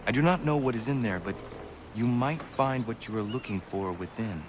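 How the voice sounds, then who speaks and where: An actor playing a stoic, earnest young assassin of the colonial frontier, speaking formally and tersely in a video game. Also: male voice, medium pitch, moderate pace, background noise, lightly acted. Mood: reserved, polite.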